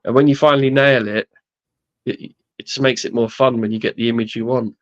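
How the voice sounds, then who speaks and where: A man's voice: mid-range voice, fairly even intonation, a little fast, highs cut off. An English man in his late thirties, chatting as co-host in an easygoing, chatty way. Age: 30s. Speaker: podcast co-host. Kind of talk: casual chat.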